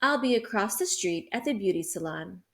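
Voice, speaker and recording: A gentle, loving mother, as a woman reads her. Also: medium pitch, lively intonation, normal speed, close to an everyday voice. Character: loving, reassuring young mother.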